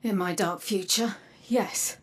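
An actress playing a grave, guarded woman warrior in a video game, measured and solemn, her breathy voice dropping toward a whisper. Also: medium pitch, slight background noise, natural voice. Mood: grieving, grave. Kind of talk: dramatic video game acting. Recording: game music underneath. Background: music.

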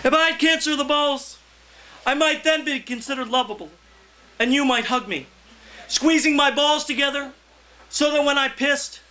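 A young man, a poet, reading his verse aloud live in a loud, theatrical, impassioned delivery. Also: extremely high pitch, normal speed, poor audio. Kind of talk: live poetry reading, declaimed. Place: indoor cafe reading before a small audience.

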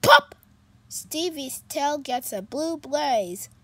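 A storyteller reading a children's picture book aloud in an expressive, animated way. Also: high voice, very slow, no room sound. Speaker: storybook reader.

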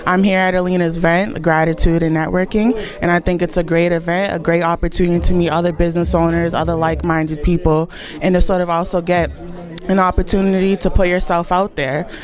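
A woman's voice: on the low side, little pitch movement, brisk. A woman in her forties giving an encouraging testimonial into a handheld mic. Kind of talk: event interview testimonial.

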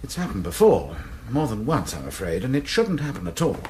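An actor playing a fussy, apologetic college librarian in a radio drama. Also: male voice, mid-range voice, sing-song, fairly quick, a little mellow, a little hiss or hum, natural voice. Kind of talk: BBC radio drama acting.

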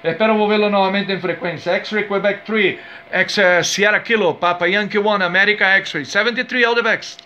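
A man with a high, forceful voice and a Brazilian Portuguese accent chats as a cordial radio amateur. He gives call signs and signal details in a casual way. The recording is dull and lo-fi. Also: steady tone, moderate pace, slight background noise. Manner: friendly, cordial.

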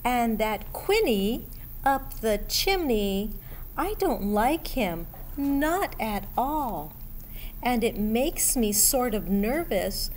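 A middle-aged woman reading a picture book aloud to children in an expressive, deliberate way, pausing as she addresses young listeners. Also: on the high side. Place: school library room, indoors. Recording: steady background room noise.

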